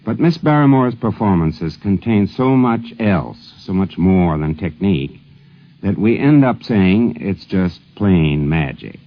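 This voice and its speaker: A man hosting and narrating a scripted tribute program in 1950s radio style. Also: low-pitched. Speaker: host and narrator. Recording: dull vintage 1950s radio broadcast.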